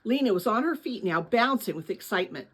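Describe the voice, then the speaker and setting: A woman narrates a children's novel with theatrical expression. Her voice sits fairly high and moves freely up and down, with a husky, breathy edge to it. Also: a little fast. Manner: expressive, animated storytelling. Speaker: audiobook-style reader of a children's novel. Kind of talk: dramatic scripted story narration.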